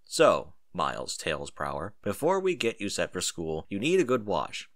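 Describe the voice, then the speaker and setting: A man's voice: low-pitched, wildly swooping pitch, moderate pace, a bit bright, dry recording, clean studio sound, voice pushed down, exaggerated voice. A man voicing an authoritative captain in a story, speaking in a casual, commanding way. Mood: directing. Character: male captain, authoritative adult directing a young boy.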